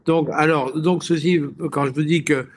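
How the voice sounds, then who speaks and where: A man speaking as a health expert guest on a radio show, explaining science conversationally in a didactic, digressive way, often checking in with the listener and pausing to search for words. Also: on the high side, fairly even intonation, slight background noise.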